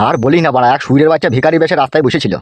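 Man playing crude cartoon elder brother, very quick speech, grumbling, aggrieved, dry sound. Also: fairly high pitch, no room sound, natural voice. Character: crude, aggrieved big brother, sweary philandering husband. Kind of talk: comic cartoon dialogue.

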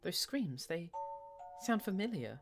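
A woman acts out a wife and mother in an audio drama. She sounds exasperated and anxious, with a very varied pitch, and music plays clearly behind her. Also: sing-song, slow, heavily acted. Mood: uneasy. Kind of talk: dramatic audio short story reading.

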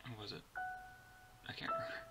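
A man in his twenties giving casual reaction commentary in a halting, fragmented way. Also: music in the background. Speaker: reaction video host. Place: bedroom, indoors.

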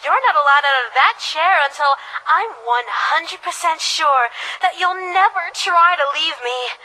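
A doting, unhinged woman from an anime-style video game. Her voice is very high and bright, cooing sugary affection with menace underneath. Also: lively intonation, unhurried, exaggerated voice, poor audio. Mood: sweetly menacing. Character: sweetly deranged, lovestruck female kidnapper doting on her captive.

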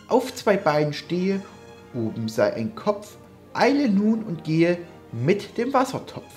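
A woman's voice: on the low side, darkish tone. Woman playing a theatrical, exclamatory young sorcerer's apprentice. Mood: commanding, cocky. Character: young male sorcerer's apprentice, cocky then panicking. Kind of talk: dramatic poetry recitation.

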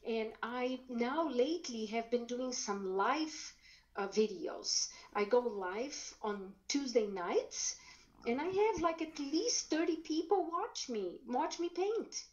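A woman's voice: sits high, expressive, takes it slowly, darkish tone, lo-fi sound. A woman from Brazil whose first language is German, a painter chatting upbeat as a podcast guest. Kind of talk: interview conversation.

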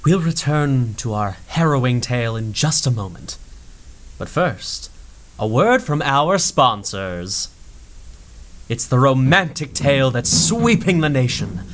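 Slow dramatic radio announcer, male. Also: medium pitch, big pitch swings, a bit bright. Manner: dramatic, drawn-out. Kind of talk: old-time radio announcing. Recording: music bed under voice.

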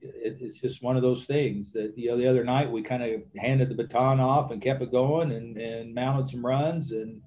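Casting: mellow-voiced older male baseball manager, halting, repeats himself, desk mic, faint room hum. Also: medium pitch, steady tone, highs cut off. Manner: halting, repeats words, trails into fillers. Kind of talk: post-game press conference answers. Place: press conference room with backdrop. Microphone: desk microphone.

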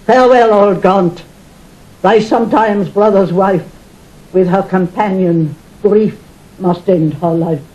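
An actress as a slow, grieving noble widow. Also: female voice, mid-range voice, mellow, natural voice. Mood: desolate grief. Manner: declamatory, halting, sorrowful verse delivery. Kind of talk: classical Shakespearean audio drama acting. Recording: noisy 1960 audio drama recording.

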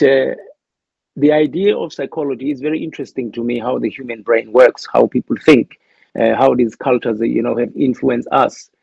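Gravelly South African man, lo-fi. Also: medium pitch, warm tone, no room sound. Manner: thoughtful, hesitant, many fillers and restarts. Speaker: interview guest. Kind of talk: reflective interview talk.

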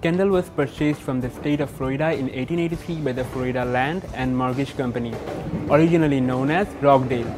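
A young man from Bangladesh narrating a local history segment as a student reporter in a plain, even reading. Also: mid-range voice, brisk, over music, rough recording. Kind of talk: scripted narration.

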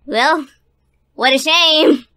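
A woman's voice: sits high, very slow, bright, forceful tone, a little hiss or hum, full character voice. A woman voicing a brash, lazy, sarcastic young woman in a foul-mouthed cartoon parody. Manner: crude, sarcastic. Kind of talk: animated parody voice acting.

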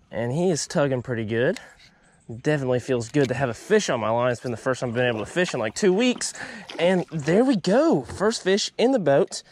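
A man's voice: a little high, slight background noise. A young man, chatting upbeat to viewers while fishing from a small boat outdoors, recorded on an action camera. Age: young adult. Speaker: fishing vlogger. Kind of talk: casual vlog commentary.

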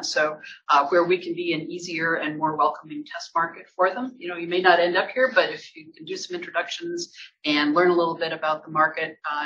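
A man introduces speakers at an industry event in a loose, conversational way, often restarting his sentences. His voice is bright and forward. The sound is dull and lo-fi, with a faint hiss behind it. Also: high-pitched. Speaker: host of industry association event. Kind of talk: event panel hosting.

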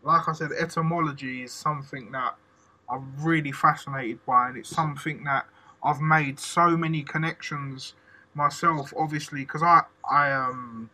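A man's voice: on the high side, steady tone, normal speed, pushed and bright, poor audio. A man hosting a casual interview, enthusiastic and chatty, using slang and many "like" fillers. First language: English. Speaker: podcast host.

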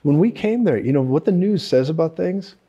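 A man's voice: a little high, animated, fairly quick, mellow, slightly rough, highs cut off. A man in his forties hosting a casual broadcast interview in a studio, effusive and full of thanks. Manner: effusive, repeats praise and thanks. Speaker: host. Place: cozy studio set with armchairs.

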